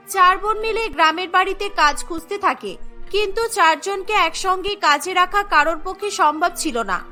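A woman's voice: very high-pitched, bright, forceful tone. A woman narrating a scripted cartoon story as its storyteller. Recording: background music bed.

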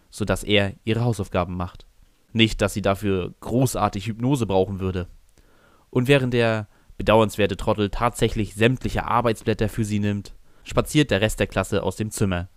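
Low-voiced man narrating a story. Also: no echo, clean studio sound. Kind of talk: first-person scripted narration of fan fiction audio drama.

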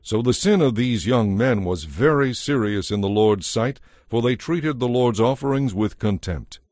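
A man narrating a dramatized audio Bible as a scripted story, measured and solemn. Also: medium pitch, animated, darkish tone, no room sound, clean studio sound.

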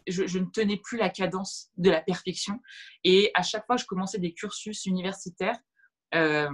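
A woman in her thirties whose first language is Arabic, a guest on a video-call interview, speaking reflectively with many fillers and restarts. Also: mid-range voice. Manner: gestures while talking. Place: room at home with shelves. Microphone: video call webcam.

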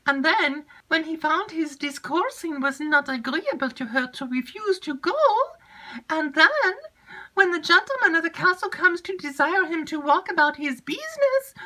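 A woman reading an audiobook and voicing a chattering, gossipy young maid with breathless, drawn-out emphasis. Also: very high-pitched, pushed and bright, voice pushed up, heavily acted, rough recording.

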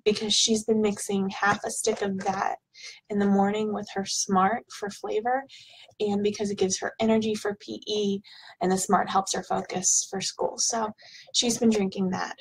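A woman in her thirties, a bit hoarse from illness, chatting casually at her webcam in a small home office while unboxing products, with plenty of ums. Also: medium pitch, flat delivery, normal speed, low-quality recording. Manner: chatty, rambling, with frequent ums. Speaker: product vlogger. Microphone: webcam at desk.

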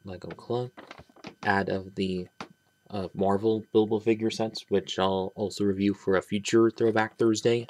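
A man giving a casual, rambling toy review, pausing and restarting often as he handles the pieces. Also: on the low side, little pitch movement, a little mellow, slight background noise. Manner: rambling, halting, many restarts and trailing "but yeah". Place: small indoor room at a table. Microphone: camera close on hands, speaker off-frame.

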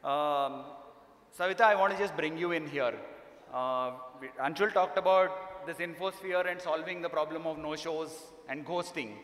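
A middle-aged man moderating a conference panel off the cuff, haltingly, with repeated phrases, on a stage microphone. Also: on the high side, fairly bright tone. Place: large conference hall stage, indoors. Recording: echoey hall, amplified stage sound.

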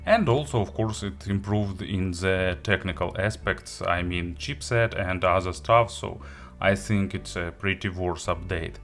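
A man who is a non-native English speaker, giving an unscripted product review in a halting, hesitant way with frequent pauses. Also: deep voice, a bit bright. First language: not English. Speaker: audio gear reviewer.

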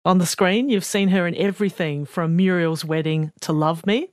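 A woman hosting a radio interview, clear and composed. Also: on the low side, moderate pace, no echo, studio quality. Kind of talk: broadcast interview. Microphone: studio mic.

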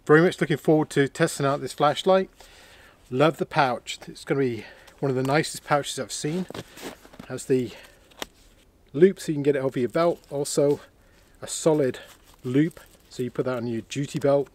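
A man's voice: fairly high pitch, a little hiss or hum. A man vlogging from inside a heated canvas tent on a winter camping trip, casually showing off new gear and thinking aloud as he goes. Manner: relaxed, chatty, thinks aloud with fillers. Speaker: outdoor vlogger.

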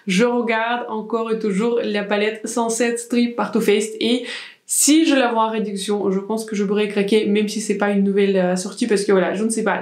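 A young woman reviews products in a relaxed, rambling chat, circling back on herself with fillers and restarts. Her voice sits at a middle pitch and rings a little in an echoey room. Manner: chatty, many restarts and fillers. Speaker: beauty vlogger. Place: small indoor room with plants.

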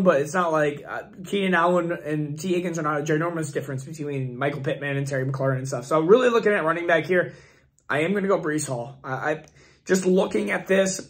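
Hoarse man thinking aloud. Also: on the high side. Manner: rambling, thinking aloud with many fillers. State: hoarse, losing his voice. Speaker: fantasy football channel host. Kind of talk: casual screen-share commentary.

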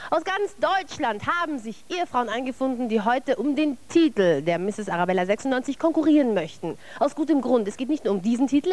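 High, lively woman hosting a TV show. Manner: playful, teasing, quick-witted. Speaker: TV talk show host. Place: TV studio with a live audience. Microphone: handheld microphone. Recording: loud crowd and studio noise.

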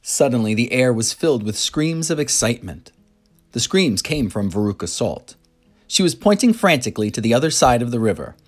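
A narrator reading a children's story aloud in an expressive, dramatic way. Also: medium pitch, brisk.

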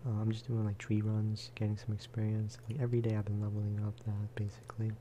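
Man, soft-spoken ASMR gaming chat, lowish voice, flat intonation, unhurried and rambling. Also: slight background noise. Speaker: gaming video creator.

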